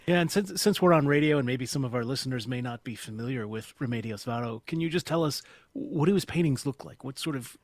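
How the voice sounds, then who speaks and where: A male radio host with a slightly husky, mid-pitched voice, asking curious and gently probing questions. The recording is dry and close. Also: fast talker, hardly any pauses, no echo. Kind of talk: radio interview.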